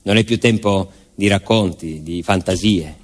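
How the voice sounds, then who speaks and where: Theatrical male narrator, deliberate and emphatic. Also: deep voice, husky. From Salento, southern Italy. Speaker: stage narrator and actor.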